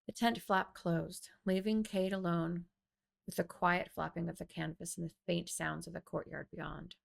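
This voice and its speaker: A woman's voice: fairly low pitch, little pitch movement, moderate pace, gravelly. A woman reading a scripted fantasy story aloud as its author and narrator. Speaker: author reading own audiobook.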